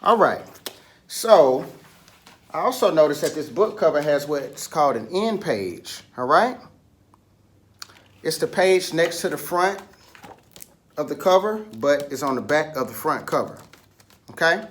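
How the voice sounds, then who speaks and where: A man's voice: a little high, big pitch swings, a little slow, long pauses, slight background noise. A man leading a picture book read-aloud lesson for children, instructing, asking questions and prompting listeners along.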